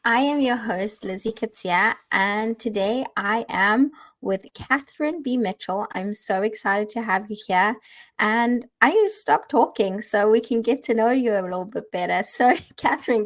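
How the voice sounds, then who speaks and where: A woman's voice: fairly high pitch, moderate pace. A woman hosting a podcast, asking questions in an upbeat, encouraging way. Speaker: podcast host. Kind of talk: interview hosting.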